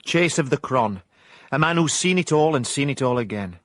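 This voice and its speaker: An actor with a South Yorkshire accent playing a hapless ex-miner turned private investigator in a radio comedy-drama, deadpan and mock hard-boiled. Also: male voice, medium pitch, normal speed, no room sound, studio quality, close to an everyday voice. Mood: wry narration. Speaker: narrator and lead actor. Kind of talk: radio comedy-drama with first-person narration.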